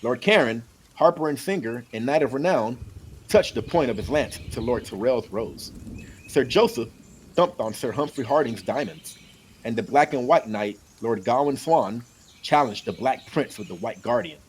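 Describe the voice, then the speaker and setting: An expressive man narrates a fantasy story with a lively, moving pitch. He reads like a keen amateur, with clear background noise on the recording. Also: normal speed. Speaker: audiobook narrator.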